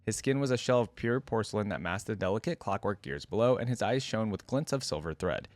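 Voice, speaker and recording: A man's voice: lowish voice, lively intonation, a little fast, no room sound. A man hosting a reaction video, reading a story aloud and commenting on it casually.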